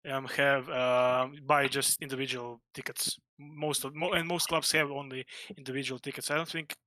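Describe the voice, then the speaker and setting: A man from Croatia chats casually as a stream guest. His voice is very bright and sharp, recorded dry. He talks haltingly, often pausing to find a word. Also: medium pitch, little pitch movement, no echo, poor audio. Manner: halting, searches for words. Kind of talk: casual chat.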